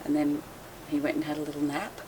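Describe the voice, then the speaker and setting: A woman's voice: sits low, quick pace, background noise. A woman, a guest boat owner, chatting casually and laughing as she tells stories. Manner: chatty, laughs often, lively retelling. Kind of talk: casual storytelling chat.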